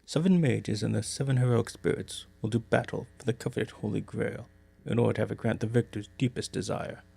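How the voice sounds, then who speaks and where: A man's voice: fairly low pitch, fairly quick, gravelly, mellow, husky, no room sound, full character voice. A man playing a scheming, dismissive older priest in an anime parody dub, speaking in an officious, matter-of-fact way.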